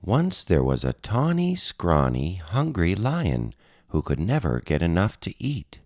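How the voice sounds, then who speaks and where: A man narrating a children's picture book as an expressive read-aloud. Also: sits low, a little slow, no echo, clean studio sound. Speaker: storybook narrator.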